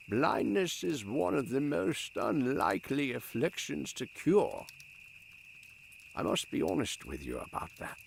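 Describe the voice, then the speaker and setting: A man's voice: mid-range voice, gravelly, a little hiss or hum, exaggerated voice. A man playing a kindly, hesitant old priest and physician of ancient Rome in an audio drama, earnest and courteous. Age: older.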